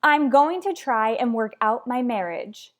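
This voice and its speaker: A woman's voice: fairly high pitch, animated, measured pace. A woman playing a headstrong married mother in a radio soap, lecturing her rival bluntly and emphatically. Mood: firm, exasperated. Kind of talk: radio soap opera acting.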